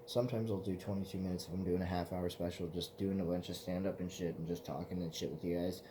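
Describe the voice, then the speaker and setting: A man's voice: low-pitched, steady tone, quick pace, noisy background. A man in his twenties, hosting his own vlog in a loose, profane, meandering casual chat. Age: 20s. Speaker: vlog host.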